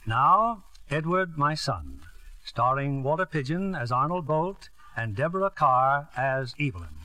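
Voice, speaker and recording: A male radio host with a slightly high, bright voice gives a formal scripted introduction. His delivery is polished, in the style of 1950s radio theatre. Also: very animated, a little slow.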